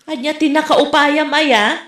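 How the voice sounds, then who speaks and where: A woman's voice: sits high, fairly even intonation, unhurried, fairly bright tone. A woman playing a bossy, exasperated nag in a comedy drama. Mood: questioning. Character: scolding, exasperated woman bossing her relative around. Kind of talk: Ilocano comedy radio-style drama. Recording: roomy echo on the voice.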